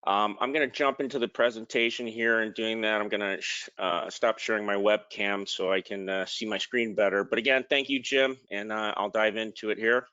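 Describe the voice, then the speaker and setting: A man's voice: fairly low pitch, steady tone, moderate pace, hardly any pauses, pushed and bright, clean tone, dry recording, dull audio. A man presenting a technical slide talk in an informal way, with frequent ums and restarted words. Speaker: product manager presenting.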